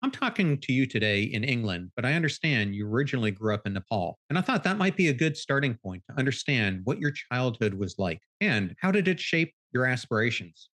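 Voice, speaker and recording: A man's voice: medium pitch, big pitch swings, a little fast, few pauses, fairly bright tone. A man hosting a podcast, reading an upbeat scripted introduction before an interview. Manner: upbeat, promotional delivery. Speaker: podcast host.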